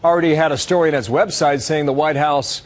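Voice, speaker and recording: A man's voice: fairly high pitch, steady tone, quick pace. A man with an American accent anchoring a live news broadcast.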